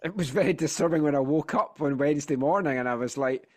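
A man's voice: on the high side, quick pace. A middle-aged man with a Scottish accent, hosting a casual football podcast, chatty and playful, sounding a bit congested from a sinus infection. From Scotland. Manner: chatty, animated storytelling with playful asides. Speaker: podcast host.

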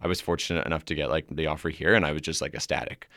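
A young man from Hong Kong, a guest in a casual conversation, talking in a relaxed, rambling way with many likes and restarts. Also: very deep voice, rapid-fire, no echo, clean studio sound.

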